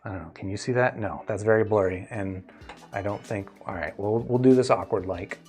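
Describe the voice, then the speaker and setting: A man hosting a casual livestream as a gear reviewer, chatting with viewers in a halting, think-aloud way. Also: on the low side, a little mellow, soft background music. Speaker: host, audio gear reviewer.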